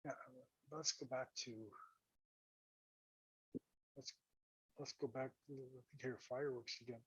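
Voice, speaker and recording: A hoarse man hosting a casual online talk show, halting and restarting his sentences while he reads out viewer comments. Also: mid-range voice, steady tone, no room sound, low-quality recording. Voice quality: husky. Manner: halting, repeats and restarts words. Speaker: host.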